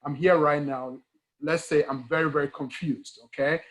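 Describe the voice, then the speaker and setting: Higher-pitched man with a Ghanaian accent. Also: steady tone, moderate pace, poor audio. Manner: emphatic, repeats key words and asks rhetorical questions. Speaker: guest speaker, engineering educator. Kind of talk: earnest conversational interview talk.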